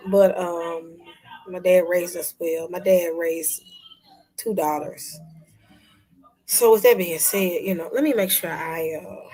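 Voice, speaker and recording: A woman hosting a casual livestream chat, talking to her viewers with soft whispery asides and sudden loud bursts. Her voice is husky, as if she is losing it. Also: lowish voice, low-quality recording. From Memphis, United States. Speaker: livestream host.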